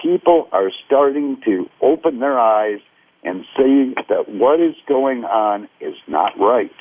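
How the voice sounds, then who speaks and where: A man's voice: mid-range voice, animated, slow pace, breathy edge, no echo. A man, a guest in a radio discussion, speaking in an earnest, declarative way. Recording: dry phone-like radio line.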